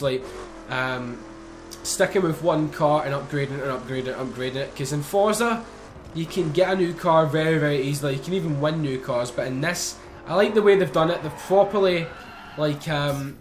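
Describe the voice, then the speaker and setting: A young man chatting casually over racing gameplay, excitable, swearing and joking. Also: on the high side. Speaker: gaming YouTuber. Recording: faint game engine noise behind.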